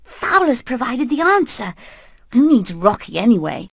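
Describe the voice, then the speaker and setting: British cartoon hen leader for a game, high lively voice, brisk n determined, super dry sound. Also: female voice, gravelly, dry recording, heavily acted. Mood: rallying, determined. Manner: brisk, earnest, rallying. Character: determined, plucky female hen leading an escape plan. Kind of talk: animated video game voice acting.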